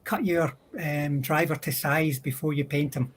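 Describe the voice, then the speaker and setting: A man's voice: a little high, fairly even intonation, a little slow, breathy edge. A man on a livestream panel, chatting casually about wargame models.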